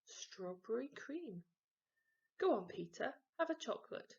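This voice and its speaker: A woman's voice: a little high, very animated, measured pace, gravelly, darkish tone, breathy edge, voice pushed up, exaggerated voice. An elderly storybook grandmother, put on by a woman reading aloud. She is kindly, doting and a bit muddled, with a slightly raised voice. The sound is a touch muffled.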